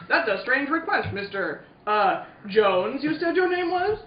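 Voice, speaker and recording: A man's voice: extremely high pitch, very animated, a little slow, voice pushed up, exaggerated voice. A man playing stilted, mysterious recorded voices in a comic reading of a 1940s radio serial. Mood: mock serious. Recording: distant, dull, echoey, noisy room.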